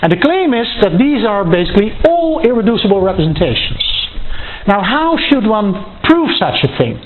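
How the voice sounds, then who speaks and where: A university maths lecturer thinking aloud through a proof, halting and deliberate in delivery. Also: mid-range voice, big pitch swings. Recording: dull lo-fi sound, faint noise.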